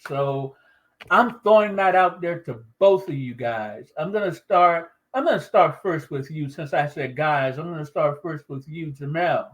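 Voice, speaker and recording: A man hosting a podcast, talking in a relaxed, easygoing way and drawing out his phrases. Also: a little high, unhurried. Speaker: podcast host. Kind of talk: casual podcast chat.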